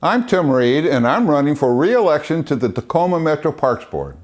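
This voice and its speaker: Animated higher-voiced man over music. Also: normal speed. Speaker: parks board candidate seeking re-election. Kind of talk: prepared campaign speech.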